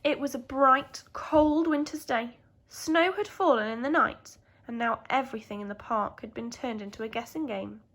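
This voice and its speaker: A woman's voice: on the high side, moderate pace, a bit bright. A woman with a British accent, a primary school teacher telling a story expressively to young children.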